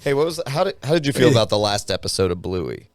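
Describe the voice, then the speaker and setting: A man's voice: mid-range voice, big pitch swings, fast talker, no room sound. A man co-hosting a casual podcast, trading film opinions and banter. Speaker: podcast co-host.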